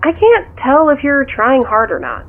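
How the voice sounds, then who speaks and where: Over a thin, tinny phone line comes a curious woman confidante in an audio drama. She is casual, dry and skeptical, gently chiding as she questions the other person. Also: high voice, wildly swooping pitch, measured pace, exaggerated voice. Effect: telephone filter. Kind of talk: naturalistic audio drama dialogue.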